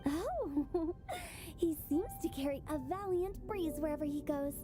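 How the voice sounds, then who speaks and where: A woman's voice: high voice, expressive, unhurried. A woman voicing a playful, confident young hostess in a video game, breezy and teasing, with music behind her. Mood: cheerful, welcoming.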